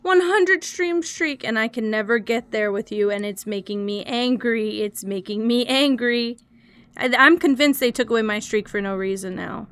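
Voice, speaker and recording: A young woman with a hoarse throat, chatting casually and swearing while she streams and sketches. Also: a little high, normal speed, a bit bright, no room sound. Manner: swearing, talkative, complaining. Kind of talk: casual livestream chat while drawing.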